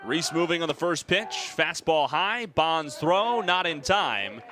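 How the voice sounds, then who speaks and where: A young American man calling baseball play-by-play in a measured, articulate way. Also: on the high side, expressive. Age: young adult. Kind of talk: sports play-by-play commentary.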